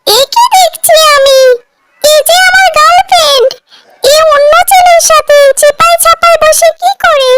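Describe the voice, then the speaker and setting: An actor voicing a lovesick, excitable little male cartoon ghost in a squeaky, childlike voice with colloquial speech. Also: unhurried, full character voice. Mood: hurt, angry.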